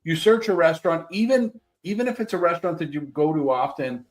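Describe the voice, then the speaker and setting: A man's voice: on the high side, a little fast, soft background music. A man hosting a podcast, asking questions in a casual, conversational way. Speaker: podcast host.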